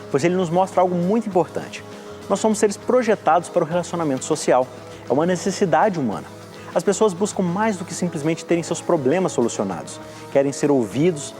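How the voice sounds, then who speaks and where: A man's voice: a little high, lively intonation, quick pace, darkish tone, faint music behind. Man narrating a series review. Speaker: TV series commentator.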